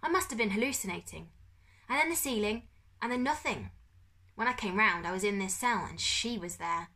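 A woman's voice: mid-range voice, expressive, moderate pace, bright, forceful tone. A woman voicing a broken, tearful captive father. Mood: haunted. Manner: weak, shaken, pleading. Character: frightened desperate middle-aged father, broken, tearful. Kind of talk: audiobook character voice.

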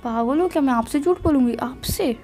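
A woman's voice: sits high, a little fast, dark tone. A young woman with an Indian accent chatting casually on a phone call, playful and a little defensive with her partner. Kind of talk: casual intimate phone chat. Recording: phone call recording, faint music.

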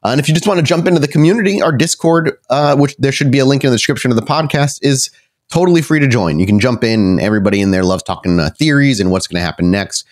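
A man hosting and narrating a tabletop roleplaying game, often halting and correcting himself as he goes. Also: mid-range voice, lively intonation. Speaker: podcast host and game storyteller.

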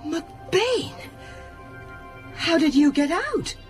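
An actress playing a curious, matter-of-fact investigator in a radio drama. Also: female voice, very high voice, sing-song, extremely slow, faint music behind, exaggerated voice. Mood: alarmed. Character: curious clinical woman investigator, unflinching at gore.

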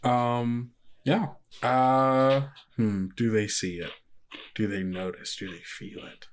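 A man acts as game master for a tabletop game. He narrates casually and conversationally, describing scenes to the players in a medium-pitched voice. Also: flat delivery, takes it slowly, a little hiss or hum.

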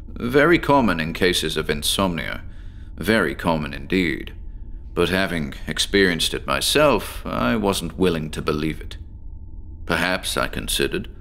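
A deep-voiced, sleepless, eccentric professor. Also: male voice, vocal fry, fairly bright tone, heavily acted. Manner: measured, deliberate storytelling with dramatic pauses. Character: eccentric obsessive male professor, sleepless, confessional. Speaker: patient recounting his experiment to a doctor. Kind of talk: horror audio drama monologue.

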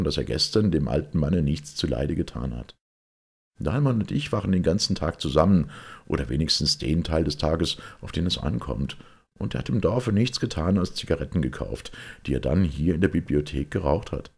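A man's voice: extremely low pitch, creaky, slightly rough, voice pushed up, heavily acted. A man voicing a bitter, impatient young secretary, speaking urgently and emphatically in an audiobook detective story.

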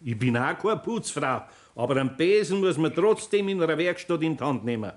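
An actor playing a patient but firm older master carpenter with a Bavarian accent, speaking in a homely, deliberate way in a 1960s radio play. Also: male voice, a little high, animated, natural voice. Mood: patient, instructing.

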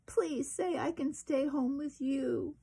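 A woman's voice: high voice, slow, vocal fry, mellow, voice pushed up, full character voice. A woman voicing a sad, reluctant little boy raccoon in a children's storybook, pleading.

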